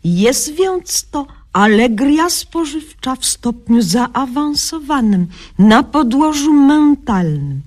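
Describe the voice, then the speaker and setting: A woman's voice: high-pitched, very animated, takes it slowly, a little mellow, exaggerated voice. An actress playing a self-important, cheerfully incompetent young woman doctor in a satirical radio sketch, mock-solemn and pompous, mangling learned words. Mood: pompous, unbothered.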